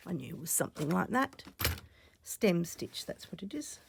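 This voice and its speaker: A woman recovering from a cough, chatting casually while she stitches, often dropping to soft half-whispers as she thinks aloud. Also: fairly low pitch, sing-song, moderate pace, gravelly, noisy background. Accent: Australian. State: croaky from a cough. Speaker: stitching channel host. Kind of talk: casual crafting vlog chat.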